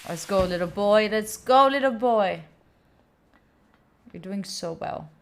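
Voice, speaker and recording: A young Italian-accented woman streams a game and chats away to her viewers. Her voice sits at an ordinary pitch, and she breaks into sudden excited squeals. A faint hum runs underneath. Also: slow pace. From Italy. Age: young adult. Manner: chatty, talks to chat, cheers on her character with sudden squeals. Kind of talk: live gameplay stream chat.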